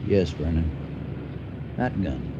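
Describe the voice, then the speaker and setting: An actor playing an older, dry-witted husband in a radio drama, speaking in a measured, deliberate way. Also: male voice, low voice, sing-song, heavily acted. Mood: uneasy, guarded. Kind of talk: 1980 radio drama acting. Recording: muffled radio recording, faint noise.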